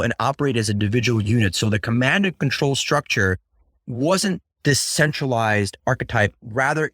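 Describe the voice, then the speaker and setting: A man's voice: medium pitch, fairly bright tone, no room sound, studio quality. A Ukrainian-born man, a podcast guest, talking earnestly and often repeating words as he searches for the right phrasing. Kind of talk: conversational interview.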